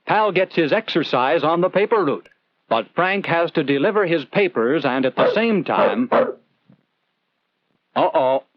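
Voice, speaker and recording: A man's voice: on the high side, lively intonation, measured pace, pauses often, no echo. 1940s educational film narrator, man, lo-fi soundtrack. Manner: earnest, conversational, rhetorical questions to the viewer. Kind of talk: 1940s instructional film narration, scripted storytelling.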